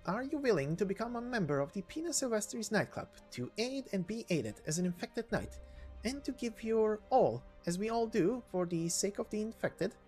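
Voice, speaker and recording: A woman's voice: mid-range voice, very animated, normal speed, a little mellow, soft background music, close to an everyday voice. A woman voicing an earnest, protective young female knight leader in a game story, reassuring and a little hesitant.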